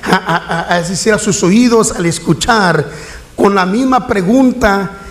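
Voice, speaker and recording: A middle-aged man preaches a sermon in a high voice. He keeps turning to his listeners, calling them warmly and pressing them with questions. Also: measured pace. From Mexico. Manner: preaching with a rhetorical refrain and questions to the congregation. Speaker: pastor.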